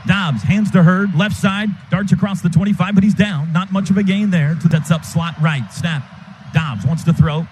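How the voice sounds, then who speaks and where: A man with a high-pitched voice calls football live from a stadium radio booth, with clear noise behind him. His play-by-play comes in excited, clipped bursts that follow the action. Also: normal speed. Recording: noisy stadium broadcast booth.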